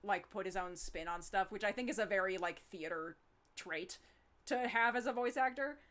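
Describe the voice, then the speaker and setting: A woman's voice: medium pitch, normal speed, fairly bright tone. A woman in a casual, unscripted group chat, rambling as she explains things and often saying "like". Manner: rambling, frequent "like". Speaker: show creator on a cast Q&A.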